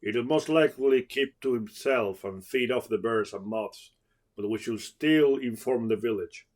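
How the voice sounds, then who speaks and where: A man voicing a tired, cautious young male survivor in a dramatic story reading, lightly gruff and firm. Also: mid-range voice, a little slow, lightly acted. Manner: firm, matter-of-fact.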